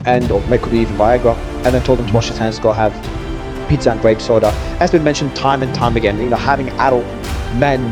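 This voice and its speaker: Fast-talking man over background music. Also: medium pitch, steady tone. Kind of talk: conversational discussion, reading emails aloud.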